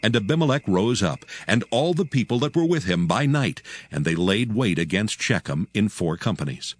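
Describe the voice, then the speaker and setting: A man's voice: fairly low pitch, sing-song, normal speed, no echo. A man narrating a dramatized reading of scripture, with music behind him.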